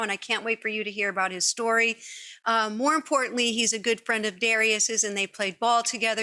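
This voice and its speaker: Middle-aged woman podcast host, enthusiastic and rambling, crisp bright tone, on a studio mic at home. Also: fairly high pitch. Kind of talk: casual interview. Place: living room with sofa. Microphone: studio mic on boom arm.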